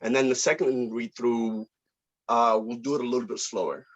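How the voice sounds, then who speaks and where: Give this voice a man of middling pitch leading a casual meeting, polite and a bit hesitant as he organizes things. The sound is dull and lo-fi, as on a video call. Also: flat delivery, moderate pace. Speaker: film director leading a table read. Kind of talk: casual informal meeting talk.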